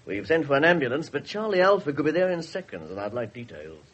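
A fast-talking police officer. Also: male voice, on the high side, sing-song, a bit bright. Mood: brisk. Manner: brisk, matter-of-fact. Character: businesslike, apologetic male police station colleague. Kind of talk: 1970s British radio drama acting. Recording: dull 1970s radio broadcast.

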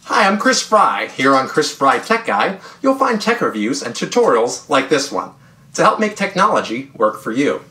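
A man in his thirties hosting a tech tutorial, speaking upbeat and clear straight to the camera in a small room at home. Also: mid-range voice, big pitch swings, normal speed, bright, forceful tone. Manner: upbeat, clear presenter delivery. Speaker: tech channel host. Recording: roomy echo.

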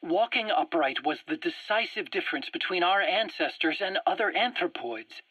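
A nervy proud scientist from a video game, bright raspy voice, lively, dull radio-ish tape sound. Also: male voice, high voice, moderate pace, no room sound, full character voice. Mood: proud lecturing. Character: defensive male scientist and weapons engineer, proud, anxious. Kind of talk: video game voice acting, interrogation dialogue. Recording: dull, dry radio-like cassette recording.

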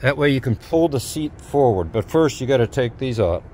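Folksy man over clear outdoor noise. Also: mid-range voice, expressive, moderate pace, a little mellow. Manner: folksy, hesitant, unscripted. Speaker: DIY video maker. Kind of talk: casual hands-on how-to narration. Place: outdoors at an open truck cab.

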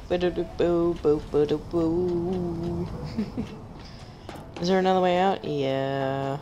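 A woman streamer with a deep, low voice talks slowly through a game, joking at her own mistakes and humming to herself. Game noise rumbles clearly under her voice. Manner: chatty, self-mocking, giggly, hums while searching. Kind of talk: casual gameplay commentary.

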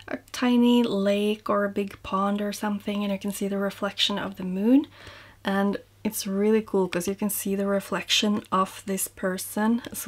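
Young woman, makeup vlogger at home, friendly and upbeat, mid-range voice. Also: mid-range voice. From Norway. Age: young adult. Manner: chatty and upbeat, drops to soft near-whisper at times. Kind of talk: beauty vlog, talking to camera. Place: small room indoors, home. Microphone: seated at desk facing camera.

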